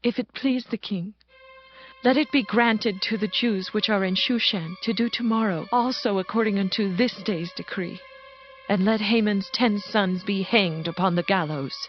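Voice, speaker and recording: A woman acts a young queen making a formal petition in a scripture audiobook. She is soft, measured and deferential, yet resolute. Her mid-pitched voice sits in slightly dull audio over light music. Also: close to an everyday voice. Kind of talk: dramatized scripture audiobook acting. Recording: dull band-limited audio with music bed.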